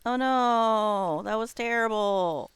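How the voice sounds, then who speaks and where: A woman's voice: fairly high pitch, slow pace, clean tone, no echo. A woman doing casual live commentary as she plays a game, thinking aloud and talking to herself and to the game. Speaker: game streamer.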